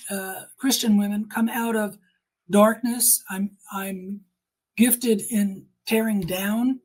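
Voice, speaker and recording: A woman's voice: a little high, low-quality recording. A middle-aged woman giving earnest, emphatic personal testimony in conversation, quoting people as she tells her story.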